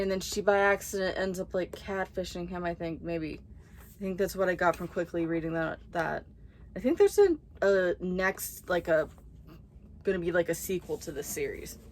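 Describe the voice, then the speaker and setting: A young woman chatting casually through a book haul as a vlogger, excitable and prone to whispered asides. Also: lowish voice.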